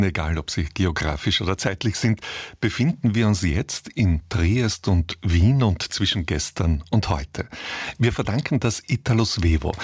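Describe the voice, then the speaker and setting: Deep, husky male radio presenter. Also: dry recording. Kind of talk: scripted introduction to a radio play. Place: radio studio.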